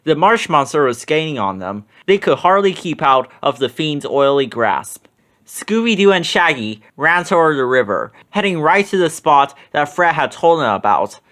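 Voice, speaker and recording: Someone reading a kids book out loud, super animated, medium pitch voice. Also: normal speed. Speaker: storybook reader. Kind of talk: animated children's story narration.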